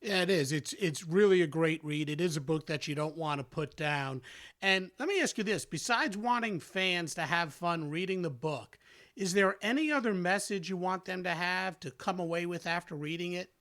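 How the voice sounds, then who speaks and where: A man's voice: sits high, lively intonation, normal speed, a bit bright. A man hosting a sports history podcast, asking questions and praising the guest with enthusiasm. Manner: enthusiastic, complimentary. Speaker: podcast host, interviewer. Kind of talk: interview questions.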